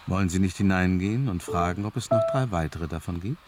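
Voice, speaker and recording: An actor playing a calm, knowing narrator in a radio drama, measured and insinuating. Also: male voice, low voice, heavy background noise, lightly acted. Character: calm, knowing male narrator prodding the heroine. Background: loud music.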